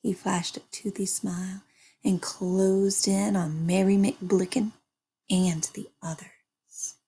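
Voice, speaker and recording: A woman reading a children's story aloud with animated, dramatic and deliberate phrasing. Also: medium pitch, slightly rough. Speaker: storybook reader. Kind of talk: animated scripted children's narration.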